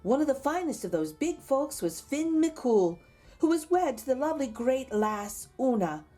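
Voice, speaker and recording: Animated higher-pitched woman reading a storybook. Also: moderate pace. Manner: animated, dramatic emphasis. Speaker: storyteller reading a picture book aloud. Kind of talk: expressive read-aloud for children with chatty asides.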